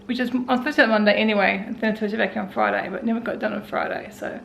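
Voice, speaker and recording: An Australian woman in her forties with a croaky voice, talking casually and wearily through her day at home in a vlog. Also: medium pitch, fairly even intonation, rapid-fire, a little hiss or hum. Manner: tired, rambling, self-talking. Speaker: vlogger. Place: indoors at home.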